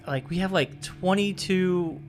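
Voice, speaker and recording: A man's voice: high voice, a little slow, faint music behind. Easygoing man in his thirties hosting a podcast. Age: 30s. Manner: easygoing, rambling, hedges with "I guess". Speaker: podcast host. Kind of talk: casual podcast chat.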